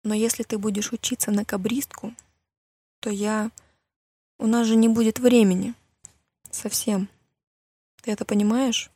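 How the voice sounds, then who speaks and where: Husky thoughtful teenage boy read by a woman. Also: fairly high pitch, little pitch movement, a little slow, long pauses, dark tone, no echo. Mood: hurt, bitter. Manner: colloquial, questioning. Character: teenage boy, warm sweetheart, thoughtful and questioning.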